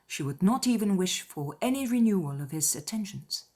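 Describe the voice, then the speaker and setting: A woman narrating a classic novel as an audiobook, in a measured, formal, scripted style. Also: mid-range voice, lively intonation, warm tone, husky.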